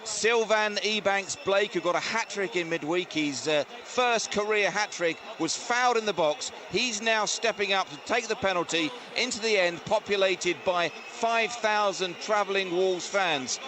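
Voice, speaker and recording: Clear background noise surrounds a male football reporter at a stadium. His voice sits high as he delivers live match commentary and score reports. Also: unhurried, few pauses. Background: stadium ambience.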